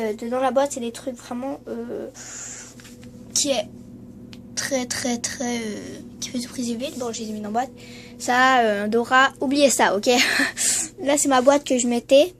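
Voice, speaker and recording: High-voiced girl with a cold. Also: a little mellow, slight background noise. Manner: hesitant, many fillers and trailing voilà. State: stuffy with a cold. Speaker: vlogger. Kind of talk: casual room-tour vlog. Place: bedroom at home.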